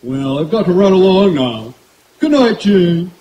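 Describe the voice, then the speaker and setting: An actor playing a polite gentleman caller in an old-time radio comedy, recorded from a distance outdoors. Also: high voice, big pitch swings, unhurried. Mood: courteous. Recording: distant camera outdoors, faint noise.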